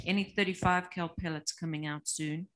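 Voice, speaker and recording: A woman's voice: fairly low pitch, fairly even intonation, brisk. A middle-aged woman on a panel, reading viewers' questions aloud. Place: panel table with several people at an expo.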